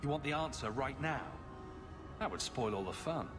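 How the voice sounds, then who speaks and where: Man playing a lively, wry hero. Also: mid-range voice, normal speed, music in the background, natural voice. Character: wry male hero champion, confident, flippant. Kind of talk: video game cutscene dialogue. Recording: clear background noise.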